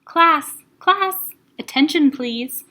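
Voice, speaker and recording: A bustling female school principal played by a woman reader, high-pitched and gushing. She is encouraging yet in command, in a children's storybook style. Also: lively intonation, drawn-out words, bright, forceful tone, full character voice. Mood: announcing. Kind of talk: children's storybook read-aloud.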